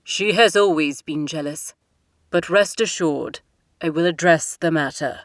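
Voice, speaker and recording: A woman's voice: deep voice, no room sound, studio quality. A woman voicing a stern older king speaking formally to his daughter. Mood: formal firm. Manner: measured, formal, authoritative.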